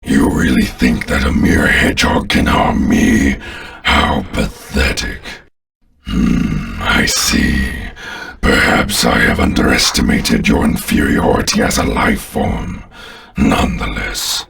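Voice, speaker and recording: Game villain: ancient, contemptuous alien tyrant. Slow, menacing, grandiose delivery with big swoops in a heavily rasping bass growl. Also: male voice, wildly swooping pitch, fairly bright tone, far from the mic, full character voice. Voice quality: very deep, gravelly. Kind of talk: theatrical video game villain acting.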